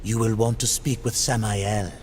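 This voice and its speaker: A man's voice: fairly even intonation, normal speed, darkish tone, natural voice. A man voicing an imperious, fussy supernatural keeper of relics in a video game, haughty and theatrical. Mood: dismissive. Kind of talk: game cutscene dialogue. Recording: clear rumbling ambient noise.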